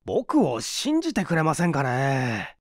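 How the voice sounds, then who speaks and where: A man's voice: fairly high pitch, huge pitch swings, measured pace, no echo, studio quality, full character voice. A man voicing a broke, hungry fellow in a storybook reading, coaxing and wry in half-polite talk.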